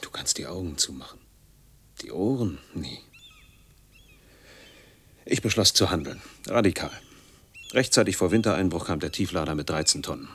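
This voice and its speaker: A man's voice: low-pitched, expressive, moderate pace, pauses often, a bit bright, a little hiss or hum. An actor playing an embittered, reclusive man in his forties in a radio drama monologue, speaking in brooding, clipped fragments that sink into murmurs. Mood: bitter, resolute. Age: 40s.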